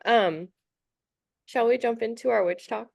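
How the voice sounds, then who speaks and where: A woman's voice: fairly high pitch, measured pace, vocal fry, darkish tone, dry recording. A woman chatting casually as a podcast co-host, chatty and giggly, with a slightly stuffy nose. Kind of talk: casual chat.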